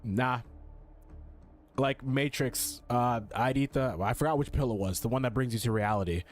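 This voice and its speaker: A man's voice: medium pitch, moderate pace. A young male gaming streamer with a mid-range voice gives casual commentary. He is blunt and slangy, swears and often repeats himself. Game music plays faintly behind him.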